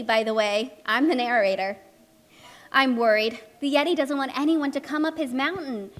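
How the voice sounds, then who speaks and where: High-voiced, fretful woman playing a lady narrator. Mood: worried. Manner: expressive, fretful, emphatic. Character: chatty, anxious, meddling lady storybook narrator. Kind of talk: children's puppet show storytelling.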